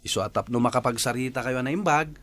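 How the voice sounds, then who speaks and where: An actor playing an earnest young nephew in a radio drama, with a conversational, coaxing way of talking. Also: male voice, medium pitch, fast, a bit bright, a little hiss or hum, lightly acted. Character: earnest young nephew nudging his shy uncle. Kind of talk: radio drama acting in Ilocano.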